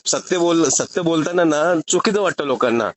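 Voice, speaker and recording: A man's voice: a little high, moderate pace, over a noisy crowd. A man in an argumentative phone conversation, speaking insistently and challenging the other side with pointed rhetorical questions. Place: phone call. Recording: recorded phone call.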